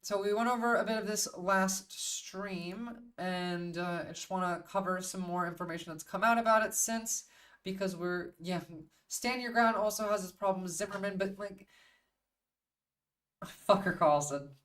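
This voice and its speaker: A woman's voice: medium pitch, normal speed, fairly bright tone, far from the mic. A woman hosting a livestream in casual, opinionated chat, swearing freely and bluntly as she thinks aloud. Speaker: livestream host.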